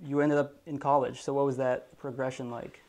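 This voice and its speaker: A man's voice: mid-range voice, little pitch movement, brisk, darkish tone. A young man in his twenties hosting an interview in a living room, prompting his guest with friendly questions. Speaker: host. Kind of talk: interview questions to a live audience.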